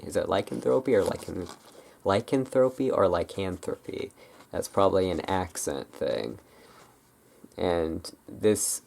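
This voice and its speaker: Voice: deep voice, vocal fry, a little mellow. An ASMR host casually rambling through a show-and-tell, tentative and self-correcting, speaking close to a camera's built-in microphone. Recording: noisy camera microphone with clicking sounds.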